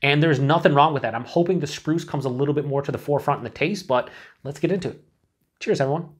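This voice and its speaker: A man's voice: on the high side, quick pace, breathy edge. A man in his forties, chatting casually to camera as he reviews a beer, thinking aloud with lots of fillers and restarts, in a small indoor room.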